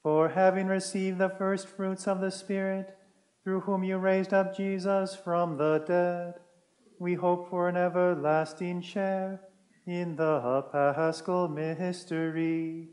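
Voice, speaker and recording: A man in his thirties, a priest, leading the mass prayers in a measured, solemn intoning manner in a church sanctuary. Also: high-pitched, little pitch movement, a little mellow.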